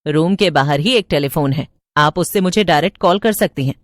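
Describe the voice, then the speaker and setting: A matter-of-fact hospital receptionist from a cartoon, played by a woman. Her voice is on the low side and recorded dry. She explains procedures plainly and without fuss. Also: fairly quick, no room sound, lightly acted. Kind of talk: cartoon story dialogue.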